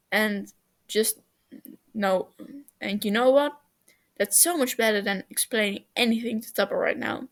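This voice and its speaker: A woman narrating fan fiction as an amateur reader, expressive, with the odd stumble and self-correction. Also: medium pitch, vocal fry.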